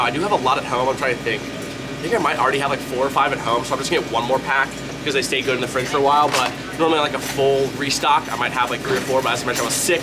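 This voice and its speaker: A man's voice: mid-range voice, low-quality recording. A congested young man with a nasal voice, chatting casually as he walks through a grocery store holding his camera. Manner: chatty, quick, rambling asides. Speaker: fitness vlogger. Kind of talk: casual vlog walkthrough. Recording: loud background music.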